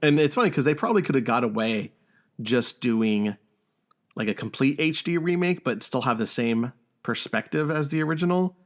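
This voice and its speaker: A man's voice: lowish voice, a bit bright. A man hosting a podcast, chatting casually about games and film and swearing freely. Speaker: podcast host.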